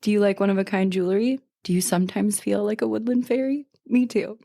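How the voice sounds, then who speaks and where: A woman's voice: medium pitch, steady tone, quick pace, creaky, dark tone, no room sound. Woman reading a scripted ad, dry recording. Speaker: small business owner reading own ad. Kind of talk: scripted ad read.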